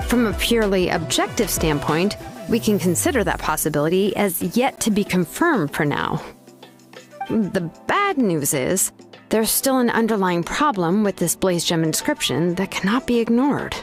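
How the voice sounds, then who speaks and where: A woman voices an easygoing, expert female blacksmith in a video game cutscene. Her mid-range voice moves with lively intonation, and she talks in an offhand way, dropping in little "uh"s and "mm"s. Game music plays clearly behind her. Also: mid-range voice, moderate pace, close to an everyday voice. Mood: explaining. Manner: relaxed and offhand, with frequent uh and mm. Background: game background music.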